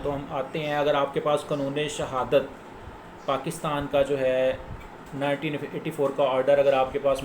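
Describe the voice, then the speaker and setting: A man with a Pakistani accent giving an informal law lecture as a lawyer, explaining step by step, repeating phrases and mixing in English legal terms. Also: mid-range voice. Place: indoors, office. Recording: echoey room with clear background noise.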